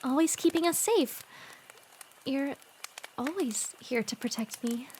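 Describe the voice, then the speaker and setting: A woman playing a sweet, excitable teenage girl with a crush in a fan audio drama, expressive and earnest. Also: high-pitched, a little slow, slight background noise. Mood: soft, dreamy.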